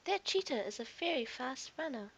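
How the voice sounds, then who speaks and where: A woman's voice: high-pitched, expressive, moderate pace, a bit bright, lo-fi sound, slight background noise, pitched above the performer's own voice. A woman voicing a plucky, boastful little male lion cub in a storybook, bold and self-important. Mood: shaken but proud.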